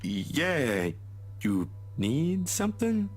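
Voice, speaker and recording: A man's voice: a little high, big pitch swings, pitched above the performer's own voice, heavily acted. A man voicing a broad-built, awkward young man who halts and stammers. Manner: halting, stammering speech.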